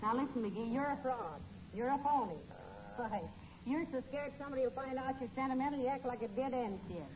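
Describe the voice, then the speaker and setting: A woman's voice: sits high, brisk, close to an everyday voice. Wry American wife played by a woman, dull recording. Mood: teasing, accusing. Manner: dry, teasing, patient. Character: patient, wry wife who gently needles her husband. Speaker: comedy co-lead actor. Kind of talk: 1940s radio comedy acting. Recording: dull, hissy old-time radio recording.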